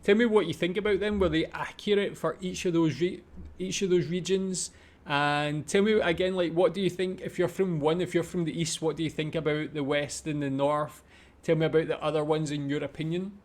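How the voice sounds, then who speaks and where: A man's voice: on the high side, steady tone, brisk. A man with a Scottish accent, hosting a reaction video with casual, conversational commentary. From Scotland.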